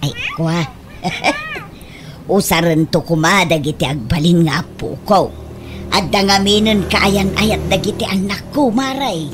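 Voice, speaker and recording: A woman playing an excitable, doting village mother in a radio comedy, with an exclamatory, giggly, gushing delivery. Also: lowish voice, measured pace, over music, natural voice. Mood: gleeful, chatty. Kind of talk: comedy radio drama acting.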